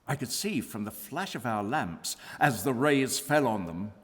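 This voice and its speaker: A man's voice: medium pitch, lively intonation, unhurried. A man reading a gothic novel aloud as dramatic literary narration. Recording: reverberant hall at a live reading.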